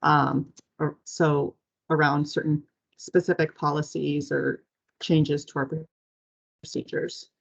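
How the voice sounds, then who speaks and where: A woman giving a measured, explanatory semi-formal presentation as agency staff on a muffled conference call. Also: low-pitched, little pitch movement, creaky.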